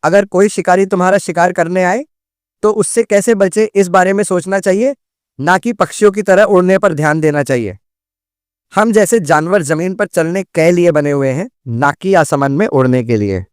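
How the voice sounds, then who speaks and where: High-pitched, chiding cartoon monkey played by a man. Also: normal speed, clean tone, dry recording, studio quality, close to an everyday voice. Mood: scolding. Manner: chiding, lecturing. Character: sceptical, practical male monkey, scolding friend. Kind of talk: Hindi children's cartoon dialogue.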